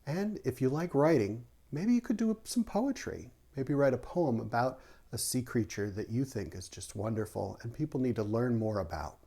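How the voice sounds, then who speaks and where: A man with a mellow, relaxed voice reads aloud for children in the manner of a teacher. His pitch moves in an animated way, keeping a clear rhythm as he presents the material. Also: normal speed, few pauses. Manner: rhythmic reading of rhyming verse, teacherly asides. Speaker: author, artist and art teacher reading aloud. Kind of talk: children's picture-book read-aloud with short explanations.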